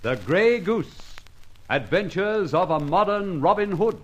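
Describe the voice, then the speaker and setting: A man's voice: high voice, animated, measured pace, highs cut off, loud music behind the voice. A man announcing an old radio serial with dramatic scripted narration.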